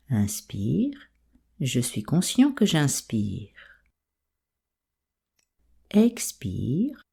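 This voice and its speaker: A woman with a soft, breathy voice calmly guiding a yoga nidra meditation in an unhurried, soothing way. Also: low-pitched. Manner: calm, soothing, unhurried instruction. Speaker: meditation guide.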